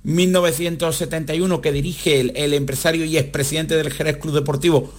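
Male sports reporter, slightly high voice, formal and wordy delivery for live radio. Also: fairly even intonation, brisk, slight background noise.

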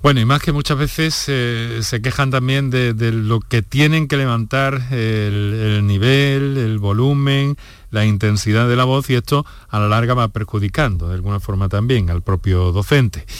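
A man's voice: mid-range voice, normal speed, smooth, no room sound. A male radio host with a Spanish accent speaks in a very dry, close sound. His delivery is conversational, as he moderates and prompts. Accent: Spanish (Spain).

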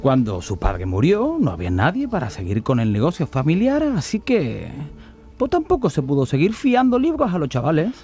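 Animated high-voiced male demon played by a man. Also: moderate pace. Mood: knowing. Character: little demon guide showing a world without George. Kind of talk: Christmas radio play acting.

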